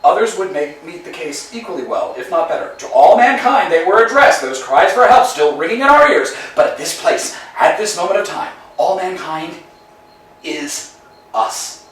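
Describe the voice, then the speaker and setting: A man's voice: high-pitched, very animated, moderate pace, a bit bright. An actor playing a restless, philosophizing male tramp who talks in wordy, musing speeches that swing between elation and gloom, in a staged play reading. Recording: roomy echo, voice far from mic.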